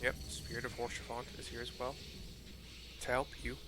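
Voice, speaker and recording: A man's voice: medium pitch, little pitch movement. Casual male game streamer over noisy gameplay. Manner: informal, descriptive, halting as events unfold. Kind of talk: casual play-by-play describing cutscene visuals. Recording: clear game noise behind voice.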